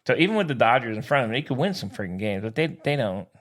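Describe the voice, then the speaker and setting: A man's voice: medium pitch, very animated, fast talker, a bit bright. A man co-hosting a sports podcast, chatting casually in a blunt, slangy, teasing way.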